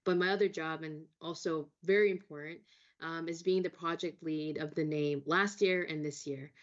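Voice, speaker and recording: A woman's voice: deep voice, fairly even intonation, normal speed, muffled recording. A woman from Brooklyn, New York, speaking as a panelist in a friendly way with frequent ums. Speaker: brand director, panelist. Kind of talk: panel discussion.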